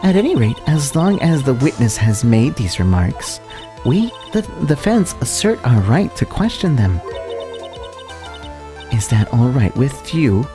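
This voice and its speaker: Woman as a female courtroom mentor, video game, confident, assured, lively intonation, music behind. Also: rumbling low, a little slow, heavily acted. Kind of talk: video game voice-over, read live.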